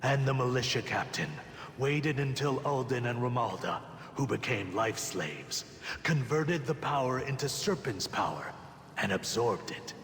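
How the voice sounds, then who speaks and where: A man playing a grave, courteous ally in a game cutscene, speaking in a measured, earnest, formal way. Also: medium pitch, lively intonation, a little hiss or hum. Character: grave, courteous male ally explaining dark events. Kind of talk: scripted game cutscene dialogue. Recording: long reverb, loud music behind.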